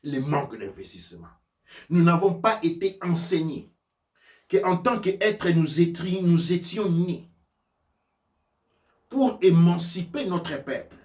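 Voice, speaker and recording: A man's voice: sits high, roomy sound, distant mic. A man giving an impassioned sermon-like lecture, emphatic and repetitive, driven by rhetorical questions. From sub-Saharan Africa. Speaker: spiritual teacher and preacher.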